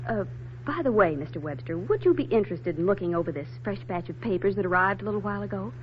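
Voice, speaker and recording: A woman's voice: mid-range voice, lively intonation, fast, lightly acted. An actress playing a composed, dryly teasing young woman in a 1940s radio drama. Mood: dry, arch. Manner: dry, teasing. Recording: muffled, faintly hissy 1940s radio recording.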